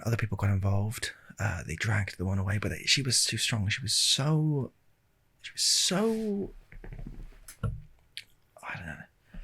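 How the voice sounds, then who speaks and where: Give a soft-spoken British man telling a casual story for ASMR, close to the mic. His low voice is very breathy, with lively rises and falls in pitch. He is hesitant and wry, rambling along in an understated way. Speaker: ASMR video creator. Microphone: handheld stereo recorder, close up.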